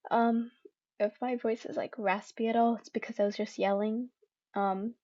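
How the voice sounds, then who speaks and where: A woman's voice: a little high, fairly even intonation, normal speed, a little mellow, dull audio. Chatty young woman reading fan fiction. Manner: chatty, with many fillers and self-corrections. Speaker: podfic reader.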